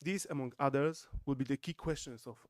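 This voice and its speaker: A man's voice: mid-range voice, a little mellow, dry recording, studio quality. Formal man opening a panel. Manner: halting, formal, with frequent fillers. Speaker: event host. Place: conference room at a podium. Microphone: podium microphones.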